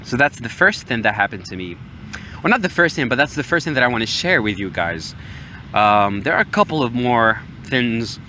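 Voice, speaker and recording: A man's voice: medium pitch, moderate pace. A young man from Morocco with an American accent, hosting a podcast and telling casual personal stories in a hesitant way. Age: young adult. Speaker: podcast host. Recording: steady outdoor-like background noise, waves. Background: ocean waves ambience.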